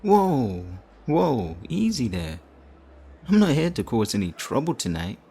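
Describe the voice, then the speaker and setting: A man playing a flirtatious, theatrical supervillain leader in an audio roleplay, smug and righteous, with teasing, drawn-out phrasing. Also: medium pitch, big pitch swings, gravelly, mellow, slightly rough, exaggerated voice. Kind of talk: audio roleplay addressed to the listener.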